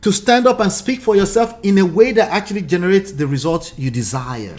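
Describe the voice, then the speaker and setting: A forties-aged Nigerian man on a commentary show, reading remarks aloud and pushing back hard. His voice sits a little higher than most men's. He drives each point home by repeating it and firing off questions. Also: animated, a little fast, darkish tone, soft background music. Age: 40s. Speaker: relationship commentary host.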